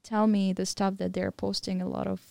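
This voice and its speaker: A woman's voice: mid-range voice, flat delivery, moderate pace, gravelly, dark tone, no echo. A young woman, a podcast guest of Mongolian and German background, candidly telling her story in casual chat with many fillers. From Mongolian-German, living in Thailand. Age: young adult.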